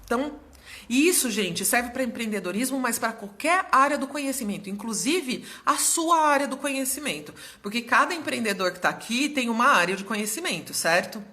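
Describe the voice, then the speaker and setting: A slightly hoarse young woman hosting a casual live stream as a business mentor, explaining things in a chatty way and often checking in with her viewers. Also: a little high, lively intonation, a little fast, pushed and bright.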